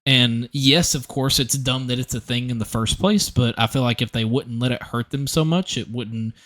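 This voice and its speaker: A man's voice: medium pitch, normal speed, a bit bright. Earnest young man hosting a podcast. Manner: earnest, rambling, many restarts. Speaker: podcast host. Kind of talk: casual podcast conversation.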